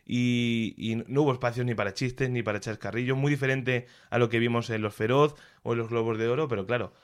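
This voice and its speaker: Castilian Spanish man, expressive, colloquial talker. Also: medium pitch, a little fast, clear, resonant tone. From Castilla-La Mancha, Spain. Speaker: culture commentator. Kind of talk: casual conversational review.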